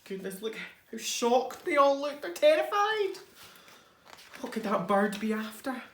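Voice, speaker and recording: A man reading a children's storybook aloud as a teacher, expressively, with dramatic pauses and hushed asides. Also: very high voice, big pitch swings. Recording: roomy, echoing indoor sound.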